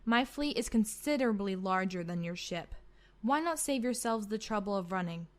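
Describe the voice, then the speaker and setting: A woman acts out a villainous female admiral in a space roleplay. The voice sits at a middle pitch, pompous and mock-courteous, with haughty menace. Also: lively intonation, moderate pace, natural voice. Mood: cold, threatening. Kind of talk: scripted fan audio roleplay.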